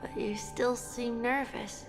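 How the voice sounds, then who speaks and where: An actress voicing a small, innocent boy in a video game, curious and gentle, with hesitant, earnest delivery. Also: fairly high pitch, fairly even intonation, gravelly. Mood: gentle, encouraging. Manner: slow, hesitant, earnest questions. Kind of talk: dramatic video game acting. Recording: long reverb, music behind.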